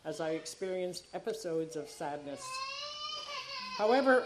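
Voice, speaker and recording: A woman's voice: lowish voice, noisy background. A woman in her sixties whose first language is Dutch reads her personal testimony in church, earnest and at times tearful. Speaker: church member giving a baptism testimony.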